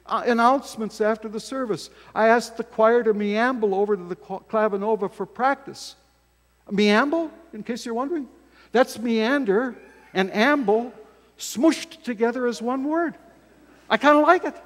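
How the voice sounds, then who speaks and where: A man's voice: very high-pitched, moderate pace, fairly bright tone. An older male pastor preaching a folksy, self-deprecating sermon from the pulpit of a church sanctuary, filmed by a camera across the room. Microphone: fixed camera from across the church. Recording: long church reverb.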